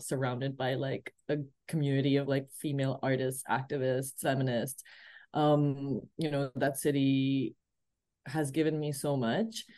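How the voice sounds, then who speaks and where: A film producer from Pakistan speaks in a low, mellow voice, pausing often and restarting her phrases as she answers. The recording is close and dry. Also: no echo. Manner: halting, frequent "um" and repeated starts. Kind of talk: interview answers.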